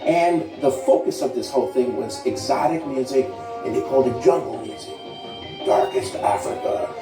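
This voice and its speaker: A man's voice: mid-range voice, animated, moderate pace. A man giving an informal music history talk, explaining and rephrasing as he goes. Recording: echoey room, distant mic, music underneath.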